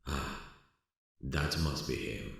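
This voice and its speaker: Man as deep, brooding amateur sleuth. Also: takes it slowly, gravelly, fairly bright tone, slightly rough, full character voice. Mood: restless, brooding. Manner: low-key, brooding inner monologue and plain dialogue. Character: restless amateur sleuth working alongside a detective. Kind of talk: ASMR roleplay acting.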